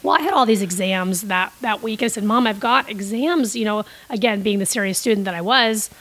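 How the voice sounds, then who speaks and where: A woman's voice: medium pitch, a little fast, a bit bright. A middle-aged woman who grew up in Costa Rica, telling long stories as a podcast guest with many restarts and fillers. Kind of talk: casual interview storytelling.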